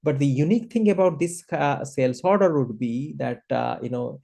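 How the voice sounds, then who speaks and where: A man teaching a software lesson as an instructor, explaining step by step in a hesitant, halting way with very frequent filler words. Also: medium pitch, lively intonation, gravelly.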